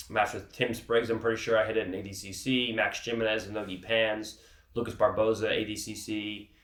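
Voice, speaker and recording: Low-voiced man teaching in an echoey room. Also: a bit bright. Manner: casual and explanatory, often checks in with "okay". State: has a cold, sniffling. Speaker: grappling instructor. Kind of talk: instructional teaching.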